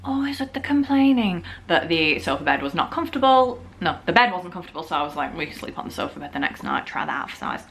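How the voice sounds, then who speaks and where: A young woman from the north of England talks straight to her camera at home, joking her way through a story. Her voice is slightly scratchy from a sore throat and sits a touch lower than most. She talks loosely and casually, full of fillers. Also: big pitch swings, fast talker, hardly any pauses, fairly bright tone. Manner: chatty, jokey, full of filler "like"s. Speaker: vlogger.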